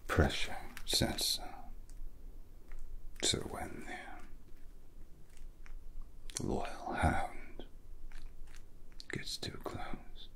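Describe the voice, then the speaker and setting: A young man playing a cold, calculating villain and kidnapper in a soft-spoken ASMR roleplay, in a breathy, gravelly half-whisper with slow, deliberate menace. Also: rough recording. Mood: calm, sinister. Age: young adult.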